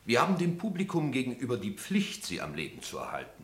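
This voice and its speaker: An actor playing a solemn, lofty gentleman of an opera board, pompous and rhetorical, in a German radio play. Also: mid-range voice, huge pitch swings, fast. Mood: solemn, reproachful. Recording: roomy studio echo.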